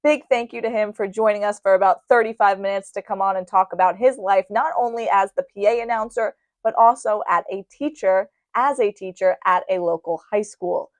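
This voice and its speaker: A woman's voice: medium pitch, brisk, hardly any pauses, no room sound. A woman hosting a sports radio show, giving commentary and recaps in an emphatic way, repeating phrases to drive points home. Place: radio studio.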